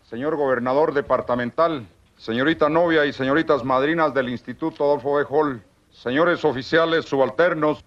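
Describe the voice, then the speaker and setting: A man's voice: fairly high pitch, normal speed. A man with a Guatemalan accent delivers a formal, declamatory ceremonial speech as a military school speaker. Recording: dull, lo-fi archive sound.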